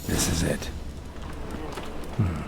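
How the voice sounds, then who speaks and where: An actor playing a stoic, gruff monster hunter in a video game, muttering tersely and deadpan. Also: male voice, drawn-out words, very noisy, exaggerated voice. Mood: matter-of-fact. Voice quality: low gravelly voice.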